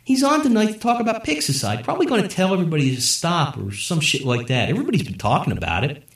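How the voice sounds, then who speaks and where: A man's voice: on the high side, very animated, quick pace, echoey room, pitched above the performer's own voice. A man voicing Daniel, a mouthy, crude teenage stoner who talks in slang. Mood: mocking.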